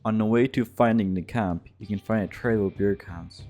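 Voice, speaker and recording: Male narrator, lowish pitch, casual scripted gaming commentary, irreverent delivery, guitar music bed. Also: moderate pace, dark tone. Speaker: video essay narrator. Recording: music behind the voice.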